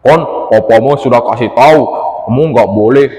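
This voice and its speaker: A man voicing a porcupine who lectures in a stern, slightly lowered voice. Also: a little fast, warm tone, lightly acted. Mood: scolding. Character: scolding porcupine. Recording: echoey room with long reverb.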